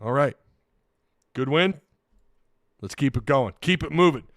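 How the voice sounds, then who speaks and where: A man's voice: medium pitch, expressive, unhurried, a bit bright, no echo, studio quality. A man hosting a casual solo sports recap, tired and losing his voice, rambling with frequent restarts. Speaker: sports podcast host.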